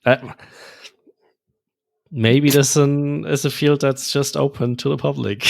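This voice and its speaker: A man's voice: mid-range voice, takes it slowly, creaky. Amused man co-hosting a chat. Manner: relaxed, amused, laughs often. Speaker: co-host. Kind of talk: casual chat.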